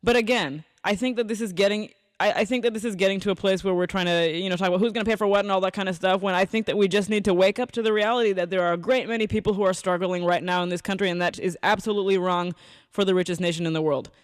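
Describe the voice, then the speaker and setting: A man's voice: sits high, steady tone, racing, no room sound, clean studio sound. A man from Bangladesh, a protest organizer debating on talk radio, earnest and hesitant, often restarting his sentences.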